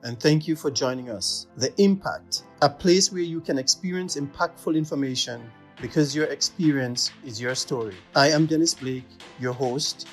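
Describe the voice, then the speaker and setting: A man's voice: medium pitch, normal speed, hardly any pauses, faint music behind. A middle-aged man hosting an interview show, emphatic and repeating key phrases as he introduces and questions his guest. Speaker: show host.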